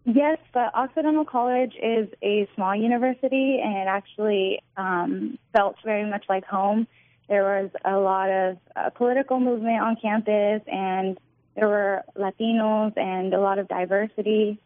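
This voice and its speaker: A woman's voice: mid-range voice, steady tone, moderate pace, no echo. A young woman in her twenties from Northern California, answering interview questions in an earnest, friendly way. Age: 20s. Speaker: guest. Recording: tinny phone line.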